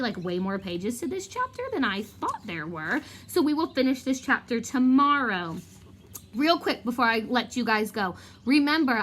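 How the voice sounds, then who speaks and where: A woman who teaches young children, speaking in an expressive read-aloud style. Her voice is fairly high and moves around a lot in pitch, with an informal classroom feel. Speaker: fourth grade teacher. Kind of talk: expressive read-aloud narration and casual address to pupils.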